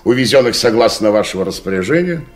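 A man's voice: fairly low pitch, expressive, quick pace, close to an everyday voice. A man playing an officious deputy minister. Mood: formal. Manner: formal, bureaucratic, flustered when refused. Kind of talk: archival radio play acting.

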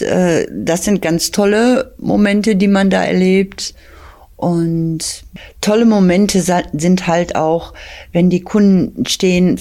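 A woman's voice: fairly low pitch, mellow. A woman in her sixties from the Ruhr area, a master hairdresser, answering interview questions hesitantly. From Ruhr area, Germany. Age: 60s. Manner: hesitant, searching for words.

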